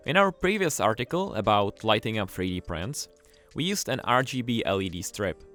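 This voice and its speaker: A man presenting a scripted tutorial, explaining things clearly and with enthusiasm. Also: medium pitch, fairly bright tone. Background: music.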